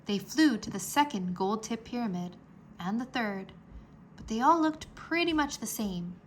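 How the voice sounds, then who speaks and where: Higher-voiced woman reading a children's story. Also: animated, a little slow, a little hiss or hum. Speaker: read-aloud narrator. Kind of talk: children's storybook read-aloud.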